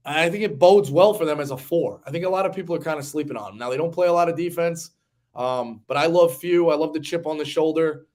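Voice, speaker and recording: A man's voice: a little high, fast, darkish tone. A man in his thirties hosting a sports betting show, talking in a casual, rambling, conversational way. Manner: rambling, conversational, occasional swearing.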